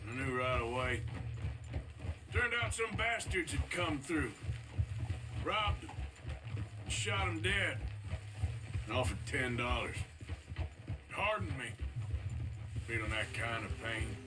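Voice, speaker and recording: An actor playing a weary, remorseful, ailing outlaw in a video game, with an American accent and a slow, halting, reflective drawl. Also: male voice, medium pitch, expressive, rough recording. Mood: sombre remorse. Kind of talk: dramatic video game acting. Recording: music behind the voice.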